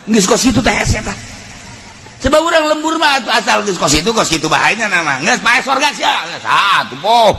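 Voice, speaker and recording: A man with a Sundanese accent preaching in an animated, earthy, joking storytelling style. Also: sits high, brisk, gravelly, slight background noise. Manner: animated, breaks into shouts and laughter. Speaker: Islamic preacher. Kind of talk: humorous storytelling sermon.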